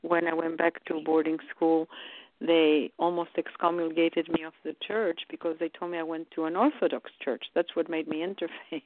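A woman's voice: sits low, level tone, normal speed. Woman on a tinny phone line. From Romania. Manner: chatty storyteller with many fillers. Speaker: guest. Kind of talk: casual radio interview.

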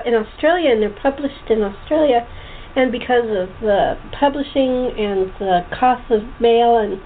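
A woman hosting a casual interview, chatting in a giggly, rambling way. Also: on the high side. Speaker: talk show host. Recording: tinny phone line with clear background noise.

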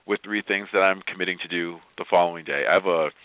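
A man's voice: sits low, steady tone, quick pace, no echo. A middle-aged man talking casually as an interview guest, earnest and emphatic, often repeating his phrases. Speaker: guest, motivational speaker. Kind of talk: casual interview talk with personal anecdotes. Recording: tinny phone-like radio line.

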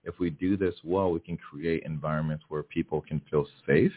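A man's voice: extremely low pitch, steady tone, normal speed, slightly rough. A man from Miami, Florida, speaking earnestly as a guest in a radio interview, often restarting his phrases. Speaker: guest, DEI strategist. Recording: tinny phone line.

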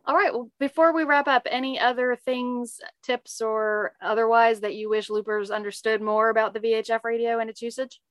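A woman's voice: fairly high pitch, steady tone, normal speed, few pauses, no echo. A woman hosting a podcast interview, asking questions in a conversational way.

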